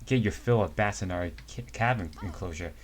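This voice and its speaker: A man's voice: low-pitched, a little fast, slight background noise, close to an everyday voice. A man reading as a stern zoo guard woman. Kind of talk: amateur dramatic reading of a comic.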